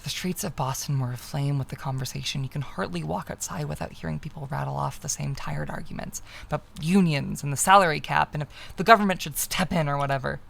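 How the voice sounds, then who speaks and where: A woman streamer reading a visual novel aloud as narration, expressive, sometimes stumbling and correcting herself. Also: low voice, fast, creaky, hardly any pauses.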